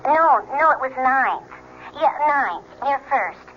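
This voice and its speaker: Higher-voiced, wry, hesitant friend. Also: female voice, animated, takes it slowly. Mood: concerned, cautious. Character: hesitant, skeptical woman friend, chatty and loyal. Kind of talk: 1970s radio drama acting. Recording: dull old radio recording, some noise.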